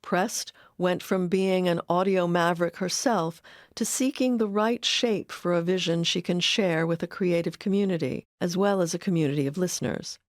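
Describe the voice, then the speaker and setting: A woman's voice: lowish voice, moderate pace, dry recording, studio quality. A woman reading scripted narration as the narrator of a documentary. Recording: clear music bed under voice. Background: music.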